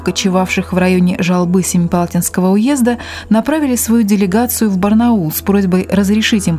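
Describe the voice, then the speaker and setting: A woman reporter reading scripted documentary narration in a measured, formal way. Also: mid-range voice, warm tone.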